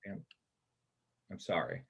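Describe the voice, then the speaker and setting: A man's voice: slow, a bit bright. A man acting a guarded, wry soldier who survived a war, in a church reader's theater play. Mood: apologetic, gentle.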